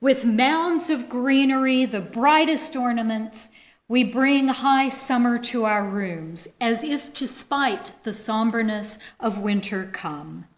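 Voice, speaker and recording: A woman with a slightly high voice leads a church service. Her delivery is very slow and deliberate, phrase by phrase, as in a responsive reading. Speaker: worship leader.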